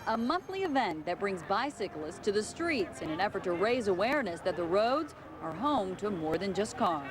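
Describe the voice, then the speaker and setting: A woman's voice: mid-range voice, sing-song, moderate pace. A woman reporter reading a scripted narration for a television news report. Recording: clear traffic and street noise.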